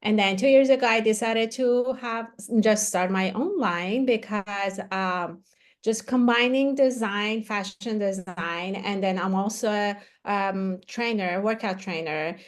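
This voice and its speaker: A woman's voice: mid-range voice, unhurried. Chatty woman guest. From Iran. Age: 40s. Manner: chatty, many fillers and restarts, enthusiastic. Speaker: guest, activewear founder. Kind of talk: casual interview talk.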